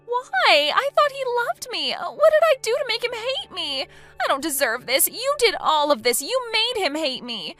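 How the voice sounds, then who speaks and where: A woman putting on a shrill, self-absorbed young woman whose voice leaps high and wide in indignation. The tone is bright and piercing, with faint music underneath. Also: wildly swooping pitch, moderate pace, exaggerated voice. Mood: indignant. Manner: whiny, indignant, high-pitched outbursts.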